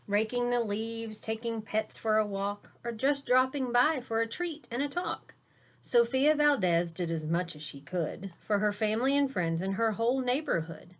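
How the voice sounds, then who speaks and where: A woman's voice: a little high, animated, moderate pace. A woman reading a children's picture book aloud like a teacher, expressively, with simple explanations for young listeners. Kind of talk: storybook read-aloud with explanatory asides.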